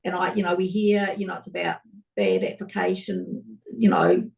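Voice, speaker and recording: A woman ward councillor speaking hesitantly in a council committee meeting, her voice croaky. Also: fairly low pitch, very animated, normal speed, a little mellow, recorded from a distance, low-quality recording. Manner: hesitant, searching for words. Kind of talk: committee discussion.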